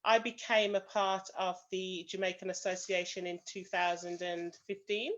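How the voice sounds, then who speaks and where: A woman's voice: lowish voice, level tone, normal speed, lo-fi sound. A woman with a British accent, a panel guest on a video call, giving a prepared talk with many "um" fillers and hedges like "kind of". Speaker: association president, panel guest.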